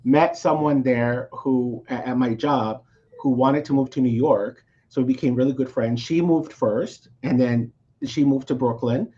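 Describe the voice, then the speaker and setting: A man's voice: medium pitch, measured pace, muffled recording. A man from Mexico who was raised in Texas, a coach talking animatedly in a casual interview and often checking in with "right?". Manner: animated, keeps adding tag questions. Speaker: coach, podcast guest.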